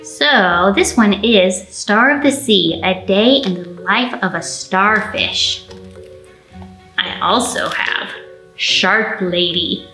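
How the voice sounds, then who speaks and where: Children's storytime host: young American woman, very bright tone, animated delivery, roomy echoing sound. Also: mid-range voice, takes it slowly, soft background music. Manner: animated, addressing small children directly. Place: indoor library storytime room.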